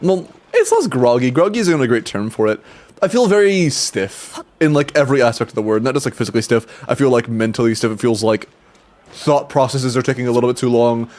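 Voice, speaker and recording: A man's voice: medium pitch, lively intonation, brisk, darkish tone. A young man streaming a game and chatting casually with viewers, sounding stuffy and congested, rambling with restarts, casual swearing and laughs. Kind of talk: casual livestream chat with viewers.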